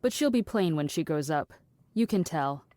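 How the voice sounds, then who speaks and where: A woman playing a skeptical, needling philosophical character in an audio drama, measured and condescending in delivery. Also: deep voice, animated, mellow, dry recording. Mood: dry, condescending. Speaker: actor.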